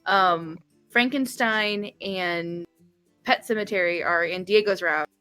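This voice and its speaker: A woman chatting casually as a guest game developer, explaining things in a halting, thinking-aloud way. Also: fairly low pitch, pushed and bright, smooth, no room sound, soft background music.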